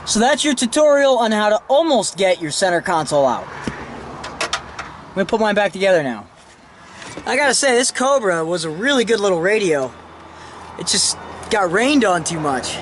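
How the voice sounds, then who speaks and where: A man's voice: high-pitched, big pitch swings, moderate pace, pauses often, fairly bright tone, slight background noise. A man casually narrating a hands-on car tutorial in an informal, self-deprecating way, with some mild salty slang. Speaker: host of a DIY car how-to video. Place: in and around a vehicle while working on it.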